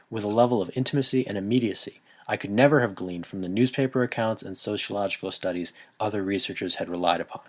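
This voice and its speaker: A young man narrates from a script in a low, measured voice, earnest and steady. The sound is close and dry, with no room heard around him. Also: no room sound. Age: young adult. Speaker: history student narrator.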